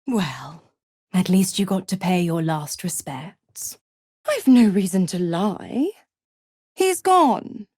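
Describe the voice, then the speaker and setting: A woman's voice: on the low side, lively intonation, takes it slowly, a little mellow, slightly rough, dry recording, exaggerated voice. A cruel, seductive devil woman from a video game. She takes her time, savouring each taunt with theatrical swoops and sultry dips toward a whisper. The sound is close and dry. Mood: gloating. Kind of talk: dramatic video game voice acting.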